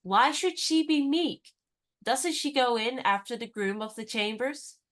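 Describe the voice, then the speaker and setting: An actress in a radio play playing a spirited young woman disguised as a lady's maid, talking in a brisk, teasing, knowing way. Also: fairly high pitch, lively intonation, fairly bright tone.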